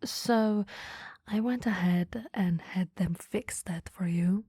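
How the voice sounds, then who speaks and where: Breathy, whispery flirty female boss, acted by a woman. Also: mid-range voice, slow, no room sound, clean studio sound. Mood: caring, matter-of-fact. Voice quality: breathy, soft. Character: caring, flirty, easily flustered female boss. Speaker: ASMR roleplay performer. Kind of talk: ASMR roleplay, soft-spoken and often whispered. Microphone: close microphone, dry.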